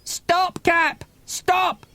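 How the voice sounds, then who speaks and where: A man's voice: very high voice, little pitch movement, very slow, sharp tone, no echo, pitched above the performer's own voice, heavily acted. A man voicing an anguished, pleading young boy in a dramatised children's audiobook. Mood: desperate pleading. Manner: breathless, pleading, childlike exclamations. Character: anguished, pleading young boy, Milo, abandoned by his dad.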